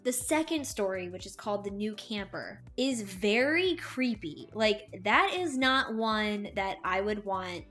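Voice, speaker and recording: A woman's voice: mid-range voice, lively intonation, unhurried, hardly any pauses, fairly bright tone. A woman co-hosting an audiobook review show in casual chat, speaking in an emphatic, agreeable way. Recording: music under the voice.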